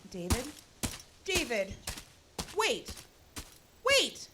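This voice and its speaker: A woman's voice: on the high side, huge pitch swings, drawn-out words, heavily acted. A woman playing a determined, anxious researcher in a live audio drama, speaking urgently and emphatically. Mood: alarmed. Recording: noisy live stage recording.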